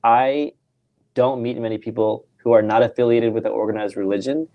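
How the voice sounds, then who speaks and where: A man's voice: medium pitch, steady tone, brisk, dull audio. A man asking thoughtful, carefully phrased questions in a casual conversation. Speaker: guest.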